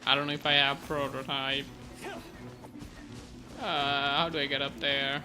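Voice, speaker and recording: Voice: medium pitch, level tone, unhurried. A streamer giving casual gameplay commentary, chill and musing aloud while playing. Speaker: streamer playing a story game. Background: game music.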